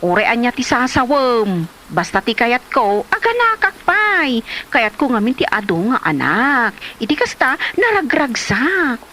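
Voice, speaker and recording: Woman acting a high-pitched, insistent Ilocano wife. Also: sing-song, a little slow, bright, forceful tone, slight background noise, full character voice. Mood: stubborn, cheerful. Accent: Ilocano Filipino. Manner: insistent, wheedling, exclaiming. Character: stubborn pregnant wife, demanding and playful with her husband. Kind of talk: Ilocano comedy radio-style drama acting.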